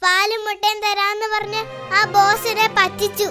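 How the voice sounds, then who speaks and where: Very high, bossy cartoon tomcat. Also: flat delivery, normal speed. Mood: aggrieved, chummy. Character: bossy tomcat henchman, demanding and hungry. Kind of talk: children's cartoon voice acting. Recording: music behind the voice.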